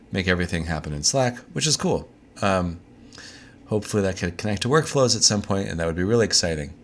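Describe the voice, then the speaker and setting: Male host, very low voice, just over a cold, chatty and informal, faint hiss behind. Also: a bit bright. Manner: chatty, loose, with fillers and asides. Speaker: tech video host. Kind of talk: casual monthly news overview.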